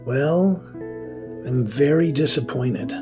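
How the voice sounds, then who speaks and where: A man's voice: medium pitch, takes it slowly, close to an everyday voice. Man acting a flustered young wizard over music. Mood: stern. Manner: stammering, awkward. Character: flustered, bookish, beardless young wizard in love. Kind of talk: scripted audio fiction, narration and character dialogue. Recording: music behind the voice.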